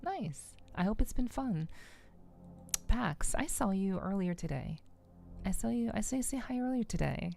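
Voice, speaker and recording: A woman hosting a livestream, chatting casually and softly with her viewers in a relaxed, friendly way. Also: medium pitch, moderate pace, vocal fry, soft background music. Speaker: livestream host.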